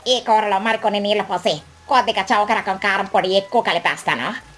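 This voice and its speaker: A woman plays a sly, greedy crow who sells food in a cartoon moral tale. Her voice sits at a middling pitch, haughty and scornful, with faint music underneath. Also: a little fast, a bit bright, lightly acted. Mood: smug scheming. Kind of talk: animated children's moral story voice acting. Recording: background music bed.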